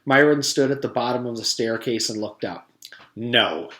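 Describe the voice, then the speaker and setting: A man's voice: mid-range voice, brisk, a bit bright. A man, a teacher, reading a children's storybook aloud to his students. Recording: echoey room.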